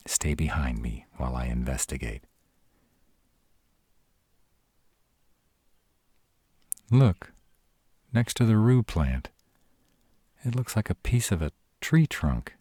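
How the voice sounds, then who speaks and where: Casting: male actor as gruff tsundere were-dragon boyfriend, animated swings in pitch, close dry sound, tender and guarded, romantic audio drama. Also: no echo, heavily acted. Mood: wary, protective. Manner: tsundere, teasing yet caring, hesitant pauses. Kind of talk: romantic fantasy audio roleplay, speaking to the listener.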